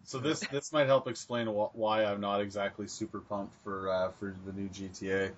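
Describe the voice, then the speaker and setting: A Canadian man co-hosting a podcast, talking in a relaxed, conversational way about games. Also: fairly low pitch, moderate pace. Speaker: podcast co-host. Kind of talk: casual gaming chat. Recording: dull lo-fi podcast audio.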